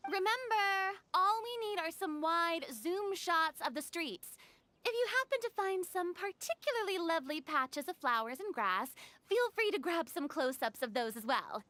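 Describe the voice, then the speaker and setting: A woman playing an energetic, bossy young film director in a video game, commanding, emphatic and easily exasperated. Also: very high-pitched, moderate pace, dry recording, exaggerated voice. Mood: brisk, commanding.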